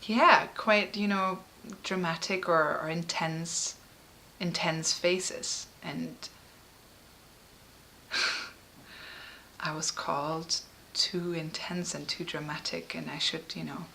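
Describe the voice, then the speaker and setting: Bright-voiced woman, halting and emotional. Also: sits low, fairly even intonation, gravelly, a little hiss or hum. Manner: halting and emotional, frequent fillers and restarts. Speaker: artist and musician. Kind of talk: personal interview.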